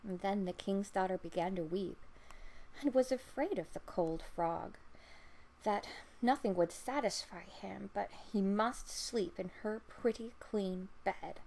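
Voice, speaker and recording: A woman's voice: medium pitch, unhurried, vocal fry, a little mellow, low-quality recording. A woman storyteller reading a fairy tale aloud as narration.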